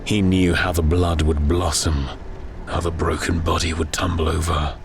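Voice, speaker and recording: Male narrator for grim war fiction: low, grave, very even delivery over clearly audible ambience. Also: moderate pace, background noise. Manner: grave, solemn. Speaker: audiobook narrator. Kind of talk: dramatic scripted horror war narration.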